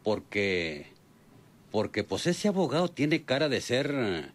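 A man acting a doubtful, comic know-it-all ranch hand with a halting drawl and rural northern Mexican accent, in an old-fashioned radio drama. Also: mid-range voice, very animated.

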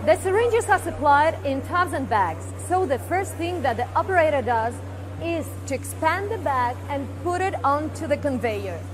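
A woman with a high voice walks listeners through a production line, explaining each step with fillers and restarts. She speaks into a lapel mic on a large factory floor, with music playing clearly underneath. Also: lively intonation, moderate pace. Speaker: company presenter. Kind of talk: guided equipment tour.

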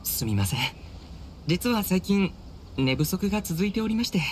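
Dutiful, expressive young samurai officer. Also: male voice, sits high, moderate pace, a little hiss or hum. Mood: apologetic, uneasy. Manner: formal, deferential speech. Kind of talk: Japanese anime game voice acting. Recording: studio voice over game music. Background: game music.

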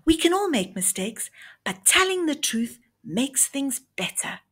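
A woman's voice: high voice, big pitch swings, unhurried, pitched above the performer's own voice, full character voice. A woman voicing an eager, earnest young schoolgirl answering in class in a children's storybook. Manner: bright, keen, earnest.

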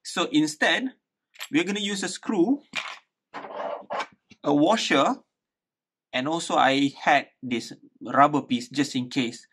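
Higher-voiced man giving a casual DIY demo. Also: slow pace, pauses often, fairly bright tone, husky. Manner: conversational, thinking aloud with repeated words. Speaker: DIY tutorial host. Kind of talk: casual hands-on how-to explanation. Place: small living room indoors.

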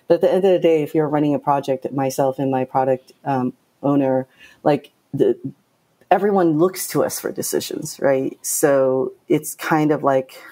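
A middle-aged woman from Japan, a game producer talking in an interview, direct and outspoken with lively storytelling. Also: deep voice. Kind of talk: casual interview talk.

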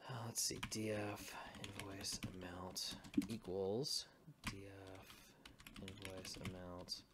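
Man, slow delivery, deepish voice, informal coding tutorial, muttering while typing, keyboard clicks. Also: level tone, heavy background noise. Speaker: programming tutorial presenter. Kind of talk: informal screen-share coding tutorial, thinking aloud.

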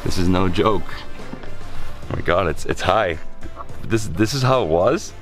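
Excitable male vlogger in his thirties, low deep voice, handheld camera. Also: unhurried, long reverb tail, soft background music. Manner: excitable, exclaims often, chatty. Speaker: travel vlogger. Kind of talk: casual travel vlog narration. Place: outdoors and inside a stone church. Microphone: camera held at arm's length.

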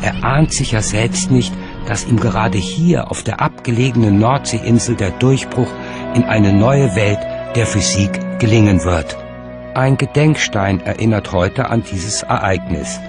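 A man narrates a documentary from a script. His delivery is measured and articulate in a lowish register, and music plays clearly underneath.

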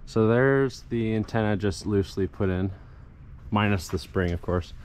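A man's voice: on the low side, normal speed, a little mellow. A man narrating a casual hands-on how-to as a DIY vlogger outdoors, speaking in hesitant, piecemeal phrases. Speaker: DIY vlogger demonstrating an installation. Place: outdoors in a residential yard and driveway. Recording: clear background noise, outdoor ambience.

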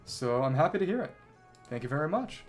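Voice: medium pitch, big pitch swings, a little fast. A game streamer chatting casually with viewers and reading game dialogue in clipped, musing fragments. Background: game music.

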